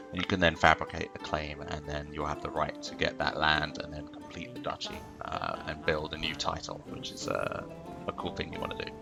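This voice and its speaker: A man's voice: rumbling low, gravelly. A man hosting a casual gameplay tutorial, explaining loosely with lots of fillers at a desk microphone. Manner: rambling, filler-heavy explaining. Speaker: let's play host.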